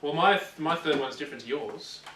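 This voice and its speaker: A man chatting casually as co-host of a gaming show, often halting and repeating himself as he thinks. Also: a little high, sharp tone. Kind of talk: casual chat about films and games. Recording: roomy, distant mic with faint noise.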